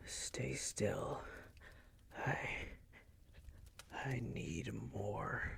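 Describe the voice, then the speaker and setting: A man's voice: lowish voice, animated, a bit bright, exaggerated voice. Man as a whispering, possessive vampire master. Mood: hungry, savouring. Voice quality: breathy, gravelly whisper. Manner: slow, crooning, teasing, possessive. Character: possessive male vampire noble master, seductive, doting. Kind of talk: intimate whispered ASMR roleplay.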